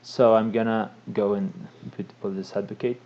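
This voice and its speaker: Mellow-voiced man, halting tutorial talk. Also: lowish voice, steady tone, highs cut off. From Costa Rica. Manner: halting, frequent restarts and filler sounds. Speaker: tutorial presenter. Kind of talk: casual screen-recorded tutorial.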